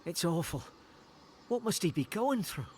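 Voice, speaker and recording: A man's voice: high voice, lively intonation, normal speed, a little hiss or hum. An actor playing a concerned, cautious male adventuring companion in a video game, speaking in an earnest, wary way. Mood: pitying. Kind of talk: video game character acting.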